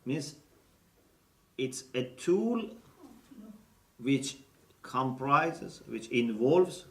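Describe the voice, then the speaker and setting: A man's voice: medium pitch, big pitch swings, extremely slow, fairly bright tone. A man giving a teaching lecture, explaining step by step and checking in with his listeners. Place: lecture room. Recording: roomy echo, mic at a distance.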